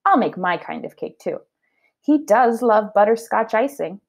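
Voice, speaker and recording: A woman's voice: mid-range voice, lively intonation, unhurried, creaky, dull audio. Lady doing a well-meaning storybook housekeeper woman, earnest, exclaims to herself, voice jumps way up and down. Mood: busy, pleased. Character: well-meaning, literal-minded woman housekeeper, cheerfully busy. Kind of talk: children's storybook read-aloud.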